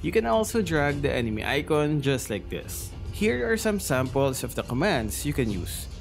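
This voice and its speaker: A man's voice: on the high side, sing-song, normal speed, gravelly. A man narrating a scripted gaming tutorial as the video's creator. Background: music.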